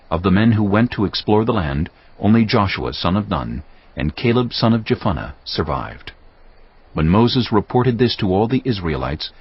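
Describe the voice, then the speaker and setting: Male narrator, very low, rough husky tone, scripted dramatic scripture, slightly muffled recording.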